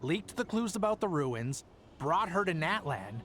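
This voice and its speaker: High-pitched, indignant informant. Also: male voice, big pitch swings, unhurried, fairly bright tone, exaggerated voice. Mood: indignant, desperate. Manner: pleading, indignant. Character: desperate, indignant male informant standing up to bosses. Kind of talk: video game voice acting.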